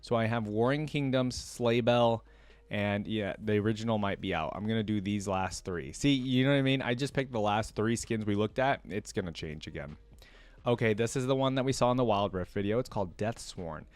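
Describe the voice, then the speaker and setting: A man's voice: on the low side, brisk, no room sound. A man hosting a reaction video, giving casual commentary in an informal way, thinking aloud and often indecisive.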